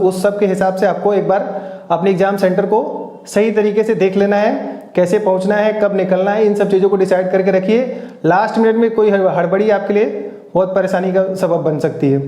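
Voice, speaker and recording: A man's voice: high-pitched, warm tone, reverberant. A man giving informal, instructive advice as an exam coach, repeating his points for emphasis. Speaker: exam coach and channel host.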